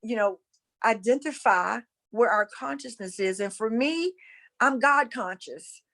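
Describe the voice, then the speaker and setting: A woman's voice: on the high side, lively intonation, measured pace, a bit bright. A woman from North Carolina with a Southern accent, teaching about spirituality casually and earnestly, repeating phrases for emphasis. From North Carolina, USA.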